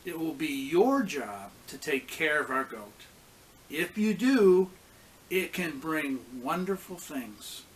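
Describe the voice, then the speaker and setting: A man voicing a hopeful, encouraging village mother in a children's storybook, in a measured way. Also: fairly high pitch, expressive.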